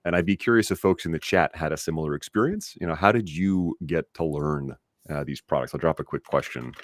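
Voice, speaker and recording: A man with a very low, deep voice hosts a live webinar in an upbeat, chatty way, talking directly to the audience. The sound is dry and close, as if from a desk mic on a video call. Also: fairly quick, no room sound. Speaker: host and trainer.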